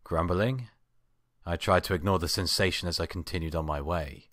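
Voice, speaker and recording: A man giving an amateur dramatic reading of a first-person story, with expressive, acted-out delivery. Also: low-pitched, moderate pace, no echo, clean studio sound. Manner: expressive, acts out exasperated outbursts. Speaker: amateur fan fiction reader.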